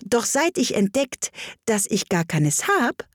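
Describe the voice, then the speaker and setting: Woman doing a jolly batty old dad, storybook rhymes, sing-song, a bit husky. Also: a little high, big pitch swings, slow, no echo, studio quality, voice pushed up, full character voice. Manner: sing-song verse recitation.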